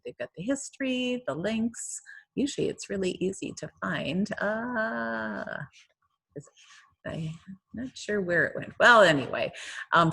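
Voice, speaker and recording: Low husky-voiced woman presenter. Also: fairly bright tone. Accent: Upper Midwest American. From United States. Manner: rambling, many fillers and restarts. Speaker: lodge district secretary presenting. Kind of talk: casual online presentation.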